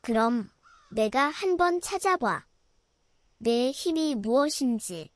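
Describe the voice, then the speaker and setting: Storybook monkey, curious and gentle, pitched high, dry close sound , voiced by a woman. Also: animated, slow, no echo. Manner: softly questioning.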